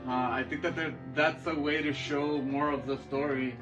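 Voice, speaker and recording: Higher-voiced man, sweary and slangy, distant mic. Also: fairly even intonation, moderate pace. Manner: sweary, slangy, says dude often. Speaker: podcast co-host. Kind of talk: casual chat about movies and games. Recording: distant mic with music playing behind.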